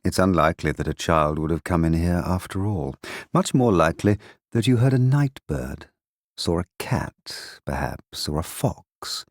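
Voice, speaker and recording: An actor playing a condescending man who questions people in a measured, persuasive way, in an audiobook drama. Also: low-pitched, animated, no room sound, clean studio sound. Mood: coolly doubting.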